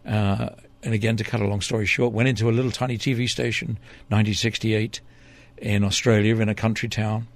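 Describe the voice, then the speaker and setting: An older man with a low, gravelly voice tells long stories at an easy pace. He wanders through his memories, stopping and restarting often, filling the gaps with "uh" and "um". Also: flat delivery, fairly quick. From England. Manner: rambling, hesitant, with many fillers and restarts. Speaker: interview guest, former TV reporter. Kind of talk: relaxed storytelling in an interview.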